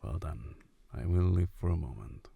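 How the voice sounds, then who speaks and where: A man playing a macabre, doting gothic family patriarch in an ASMR roleplay, deep and gravelly, with an unhurried deadpan delivery. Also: dry recording, narrow, tinny audio, exaggerated voice. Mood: deadpan, busy.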